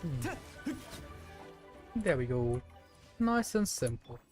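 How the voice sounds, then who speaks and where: A man's voice: medium pitch, sing-song, slow, a little mellow, faint music behind. A man giving casual live gameplay commentary, chatting playfully to the characters and enemies as he plays. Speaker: video game streamer.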